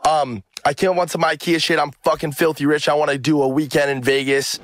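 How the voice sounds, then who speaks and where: A man's voice: fairly high pitch, flat delivery, fairly quick, no echo, studio quality. A man hosting a podcast debate, blunt and profane, pressing his point. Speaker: podcast host. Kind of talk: heated debate.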